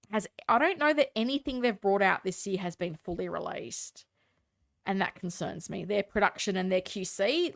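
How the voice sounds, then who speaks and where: A woman's voice: medium pitch, a bit bright. A woman with a stuffed-up, congested voice hosts a casual live stream, chatting opinionatedly and answering viewer comments.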